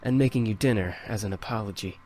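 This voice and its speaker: A man voicing a calm, soft-spoken young male incubus in a visual novel game. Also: on the low side, quick pace, close to an everyday voice, poor audio. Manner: quiet, soft-spoken, hesitant. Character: calm gentle young male incubus with mind-reading powers.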